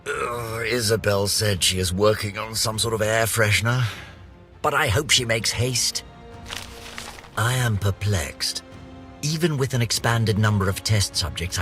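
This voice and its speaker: Pompous, theatrical British scientist, very animated. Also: male voice, lowish voice, measured pace, faint music behind, heavily acted. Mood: fussy disdain, then frustrated. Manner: pompous, florid, theatrical, precisely worded. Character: pompous, eccentric gentleman scientist, vain and eager. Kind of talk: dramatic narrated journal entries for a video game.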